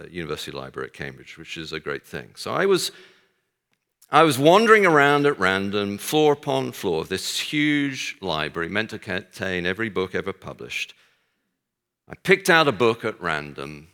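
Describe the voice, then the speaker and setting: A man's voice: on the low side, pushed and bright. Texan man speaking in a reverberant hall. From Texas. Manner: dry self-deprecating humor, frequent hesitations. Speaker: guest speaker. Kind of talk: speech to an audience.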